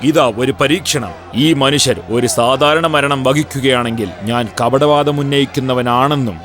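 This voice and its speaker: A man's voice: medium pitch, unhurried, a bit bright, close to an everyday voice. Guy acting as stern prophet in a bible cartoon, medium voice, preachy and grave, music behind. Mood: grave, challenging. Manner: declamatory, stern, solemn. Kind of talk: dubbed animated Bible drama.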